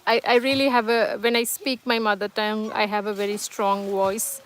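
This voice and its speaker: A woman's voice: medium pitch, flat delivery, moderate pace, a bit bright. A woman whose first language is Tamil hosts a casual livestream at home, reading viewers' comments and chatting in a hesitant, reassuring way with many fillers and restarts. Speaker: livestream host. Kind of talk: casual live-chat monologue, reading comments.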